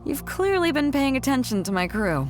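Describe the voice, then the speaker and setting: Breezy, lively, high-voiced sea captain. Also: female voice, normal speed, faint music behind. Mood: confident, explanatory. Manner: breezy, playful, self-assured, chuckles while talking. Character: confident, teasing female ship captain, hearty and commanding. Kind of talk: video game character dialogue.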